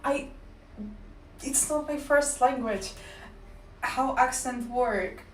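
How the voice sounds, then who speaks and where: A woman's voice: high-pitched, slow pace, a little hiss or hum. A woman streamer with a Russian accent, a native Russian speaker, chatting playfully and casually with viewers. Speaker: livestreamer. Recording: roomy, distant mic.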